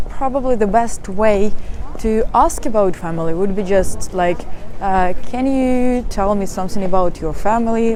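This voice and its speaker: A woman's voice: medium pitch, warm tone, slight background noise. A young woman, giving dating advice in a live talk and answering questions, hesitant and full of fillers. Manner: hesitant, many fillers and restarts.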